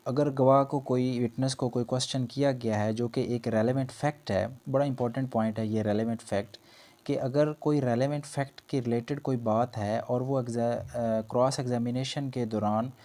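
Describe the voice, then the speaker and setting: A man speaks in a dark, mellow voice at an average pitch. He lectures on law in an explanatory way, often restating each point in other words. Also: fairly even intonation, normal speed. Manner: explanatory, repeats and rephrases points. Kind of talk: lecture explaining legal points in Urdu mixed with English terms.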